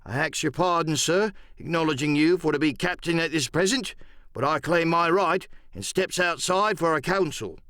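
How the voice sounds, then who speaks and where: A man voicing a surly mutinous pirate in his thirties for an audiobook, airing grievances with mock politeness in rough sailor speech. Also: on the high side, moderate pace, fairly bright tone, no room sound, clean studio sound, voice pushed up. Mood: insolent, aggrieved. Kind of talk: dramatic audiobook character acting.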